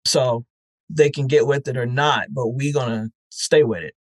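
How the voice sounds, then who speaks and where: A man's voice: medium pitch, moderate pace, no room sound. A man being interviewed as the founder of a festival, talking frankly and reflectively with easy laughter. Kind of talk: casual interview chat.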